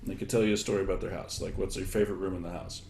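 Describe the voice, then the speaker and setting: A man giving thoughtful, conversational interview answers, thinking aloud in halting, loose chains of examples. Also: deep voice, steady tone, darkish tone. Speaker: interviewed guest, education technology expert. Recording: echoey room, clear background noise.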